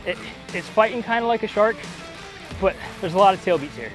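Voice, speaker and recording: High-voiced man vlogging on a boat. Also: expressive, a little fast, rough recording. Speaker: fishing vlog host. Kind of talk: casual outdoor vlogging. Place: outdoors on a fishing boat at sea. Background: music and boat sounds.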